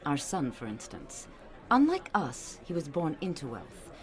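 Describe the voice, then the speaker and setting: Disapproving society mother: low register, very expressive intonation, stern, clipped, for audio drama. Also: unhurried, heavily acted. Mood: disappointed, resolute. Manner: haughty, clipped, scolding. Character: stern, disapproving wealthy mother of a grown son. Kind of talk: radio drama acting.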